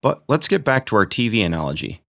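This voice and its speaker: A man's voice: fairly low pitch, sing-song, quick pace, gravelly, a bit bright. A man hosting a gear review video, narrating from a script with dry, joking asides.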